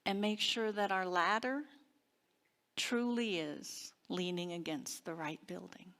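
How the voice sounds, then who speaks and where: A woman minister giving a sermon and guided prayer in a deliberate, reverent manner with long pauses. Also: on the low side, fairly even intonation, fairly bright tone. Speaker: minister leading a service. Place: church service.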